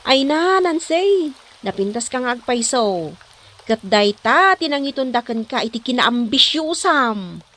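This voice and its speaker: A woman's voice: on the high side, very animated, a little slow, pushed and bright, slight background noise, exaggerated voice. A woman playing a practical, frank young snack vendor in an Ilocano comedy drama, speaking with an Ilocano accent. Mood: admonishing.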